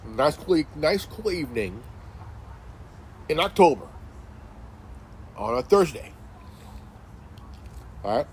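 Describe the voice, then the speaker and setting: Slow, halting man in his forties. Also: fairly high pitch, gravelly, a little mellow, slight background noise. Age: 40s. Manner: halting, many fillers and restarts. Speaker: commentary channel host. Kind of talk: casual monologue. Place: sitting in a chair indoors.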